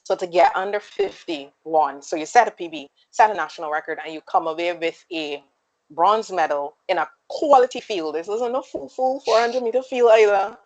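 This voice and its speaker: A woman on a sports panel, telling stories in a lively way and laughing often. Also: medium pitch, moderate pace. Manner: animated storyteller, laughs often. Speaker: panel guest. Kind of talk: casual sports panel chat.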